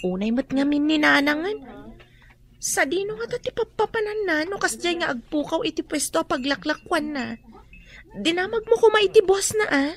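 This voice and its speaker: High-voiced worried young daughter. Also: female voice, expressive, normal speed. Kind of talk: Ilocano radio drama acting.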